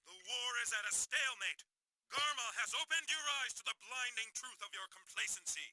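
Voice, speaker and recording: A man's voice: very high-pitched, lively intonation, fairly quick, pushed and bright, no echo, heavily acted, rough recording. An actor playing a fervent military ruler in a video game dub, declaiming grandly to rally his nation. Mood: fervent, defiant. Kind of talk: dramatic anime game dub oratory.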